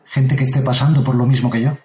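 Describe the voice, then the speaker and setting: A man's voice: mid-range voice, fairly even intonation, fast, mellow, natural voice. A man from playing a frightened patient in a psychiatric ward who sounds anxious and shaken. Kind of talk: audio drama acting. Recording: roomy echo.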